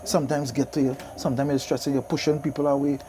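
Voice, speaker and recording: A man's voice: medium pitch, fast. A man in his fifties with a Trinidadian accent, chatting casually as an interview guest, emphatic and often repeating his phrases. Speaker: interview guest, soca singer and DJ. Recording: steady background noise.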